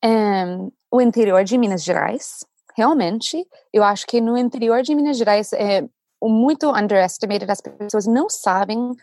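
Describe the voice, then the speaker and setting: Husky woman, chatty podcast guest. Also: medium pitch, moderate pace, dry recording. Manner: animated, chatty, searching for words. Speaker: guest, language teacher. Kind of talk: casual podcast chat.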